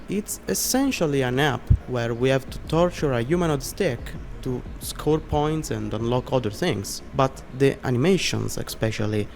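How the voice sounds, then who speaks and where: A man's voice: mid-range voice, a little mellow, a little hiss or hum. A man with an Italian accent, a non-native English speaker, narrating a scripted video essay in an earnest, explanatory way.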